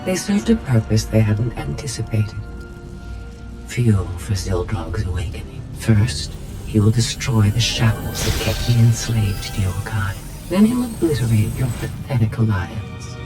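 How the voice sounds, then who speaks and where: An actor voicing a cold, haughty machine villain in a video game, declaiming with contempt for living things. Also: male voice, on the low side, sing-song, measured pace. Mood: cold, triumphant. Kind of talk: game cutscene acting. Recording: loud music behind.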